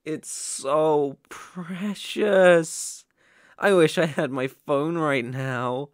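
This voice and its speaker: A man playing a sadistic, obsessive young kidnapper in an ASMR roleplay, gloating with menacing, mocking, drawn-out phrasing. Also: fairly high pitch, no echo, studio quality.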